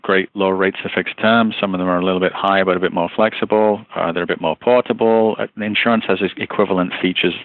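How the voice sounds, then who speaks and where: A man with a faded Liverpool accent mixed with Northern English and North American tones, answering interview questions in a measured, explanatory way. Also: deep voice, steady tone, no echo. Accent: faded Northern English, Liverpool base, with a Canadian mix. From Liverpool, England. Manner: measured, explanatory, with small restarts. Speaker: association chief executive, interview guest. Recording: thin, phone-like line.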